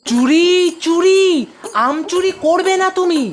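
A woman's voice: very high voice, unhurried. A woman voicing an exasperated young grandchild in a comic Bengali cartoon, nagging and questioning an elder. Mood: exasperated, reproachful.

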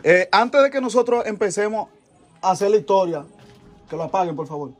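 A man with a Dominican Spanish accent interviewing a guest, friendly and chatty, with quick follow-up questions. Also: sits high, lively intonation, faint music behind. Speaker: interviewer, host. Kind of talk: casual interview.

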